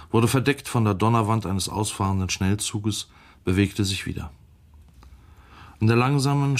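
Low-pitched North German man reading a novel. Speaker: reader of an audiobook novel. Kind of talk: literary audiobook reading.

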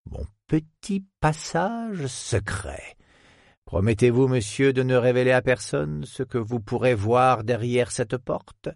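A man's voice: medium pitch, lively intonation, takes it slowly, creaky, a little mellow, no room sound. Man putting on an old male historian, effusive, courtly, conspiratorial, dry sound. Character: jovial, theatrical old male historian professor.

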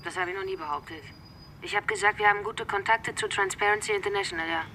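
An actress playing a defiant young human-rights activist in a radio drama, combative, sardonic and impassioned. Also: female voice, mid-range voice, fairly even intonation, quick pace, sharp tone, a little hiss or hum, low-quality recording. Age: young adult. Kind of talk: naturalistic German radio play dialogue.

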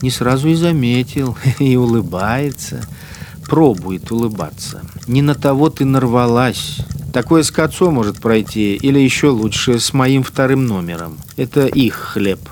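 A man's voice: mid-range voice, expressive, a little slow, darkish tone, a little hiss or hum, natural voice. An actor in a Russian radio drama playing a cynical, menacing collaborator policeman who muses in mocking, colloquial speech. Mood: sneering menace. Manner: colloquial, mocking, musing to himself.

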